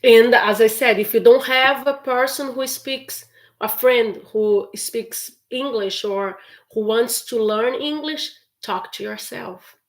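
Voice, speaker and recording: A woman's voice: on the high side, takes it slowly. A woman with a Brazilian accent, a native Portuguese speaker, answering questions as an English teacher in a chatty, encouraging live Q&A. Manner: encouraging, chatty, laughs often. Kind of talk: live stream Q&A.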